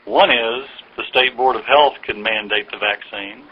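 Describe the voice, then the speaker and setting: A man explaining things patiently and haltingly in a phone conversation, like an official answering a caller's questions. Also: lowish voice, breathy edge. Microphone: telephone. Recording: dull lo-fi recorded phone call.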